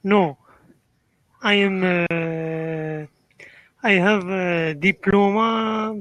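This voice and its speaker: Moroccan man caller, high voice, very slow halting English, faint hum on the line. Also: steady tone, a bit bright, no echo, dull audio. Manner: halting English, hesitant, many uhs. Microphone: video call.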